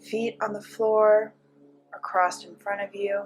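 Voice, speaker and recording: A woman narrating a guided meditation as a teacher, giving gentle step-by-step instructions with frequent pauses. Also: medium pitch, level tone, a little hiss or hum, poor audio.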